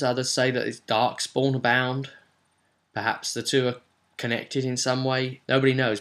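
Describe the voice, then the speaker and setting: A man's voice: medium pitch, fairly bright tone. A man speaking as a game master and video host, giving a chatty, explanatory recap of a tabletop role-play session with rambling asides.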